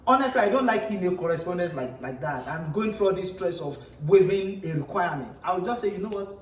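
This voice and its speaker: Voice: medium pitch, expressive, fast. A young Nigerian doctoral student speaking as a guest, giving blunt, emphatic advice in a casual chat. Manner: blunt and emphatic, argues firmly. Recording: roomy echo, distant mic, faint background noise.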